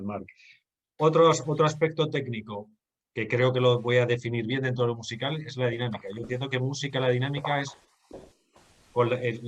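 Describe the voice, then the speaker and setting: A man with a Castilian Spanish accent from Guadalajara, speaking at a middle pitch. He interviews a guest warmly, checking in often as he frames his questions. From Spain, Guadalajara. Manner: affirming, tags questions with "¿vale?".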